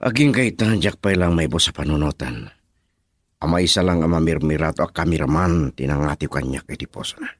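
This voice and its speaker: A man's voice: a bass voice, fairly quick, no echo, studio quality. A man acting a lovesick, jealous young suitor in an Ilocano radio drama. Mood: brooding.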